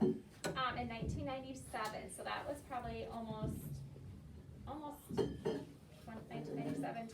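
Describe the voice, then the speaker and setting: A woman with a fairly high voice leads a read-aloud discussion as a schoolteacher. She explains things simply and encouragingly for children. The recording is distant and echoey, with clear noise and clicks. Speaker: elementary school teacher.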